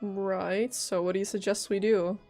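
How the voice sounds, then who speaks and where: A woman chats casually through a live game stream. Her medium-pitched voice drops into offhand, muttered asides, like someone thinking out loud while playing. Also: fairly even intonation, moderate pace, soft background music. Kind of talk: casual live gameplay commentary.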